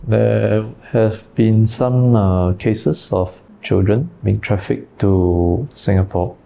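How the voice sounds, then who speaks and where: A man's voice: fairly low pitch, slow, gravelly, mellow, slight background noise. A man asking questions as a reporter in an interview.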